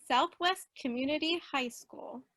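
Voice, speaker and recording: Woman playing a bright, high-voiced eager teenage girl. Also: a little slow, dry recording. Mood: nervous, eager. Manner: earnest, youthful. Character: eager, earnest eighteen-year-old girl, an aspiring singer. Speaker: actor in a radio play. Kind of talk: radio play acting.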